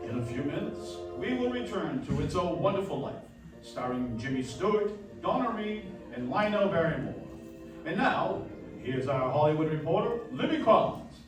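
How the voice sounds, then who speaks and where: A man's voice: a little high, sing-song, moderate pace. Man acting a genial, lilting old-time radio announcer. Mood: upbeat announcing. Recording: echoey hall from far off, music behind.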